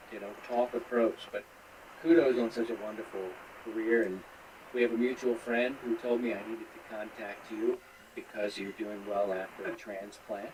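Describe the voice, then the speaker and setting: A man with a fairly low voice conducts a conversational interview. He circles toward his questions with a hesitant, deferential manner. Clear noise runs through the radio recording. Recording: clearly noisy radio link.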